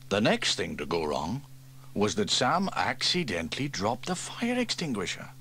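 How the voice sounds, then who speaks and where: A man reads a children's story aloud in a very lively, swooping voice. He keeps it bright and animated for young listeners. A faint tape hiss runs underneath, as from an old cassette. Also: normal speed. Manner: lively, switches into higher voices for characters. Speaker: audiobook reader. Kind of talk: storybook narration with character voices.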